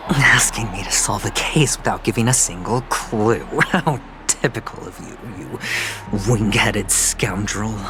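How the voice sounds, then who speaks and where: Man voicing a suave, sly gambler, slow and low. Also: noisy background, lightly acted. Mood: taunting, sardonic. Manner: teasing, theatrical, breaking into soft chuckles and hushed asides. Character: suave, sly young gambler, smug and theatrical. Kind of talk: video game voice acting.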